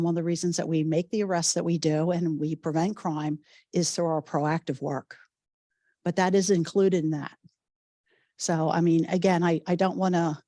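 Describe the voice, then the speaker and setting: A woman police chief, coming down with a cold, giving a measured, explanatory report at a township meeting. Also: lowish voice, mellow, dry recording, clean studio sound.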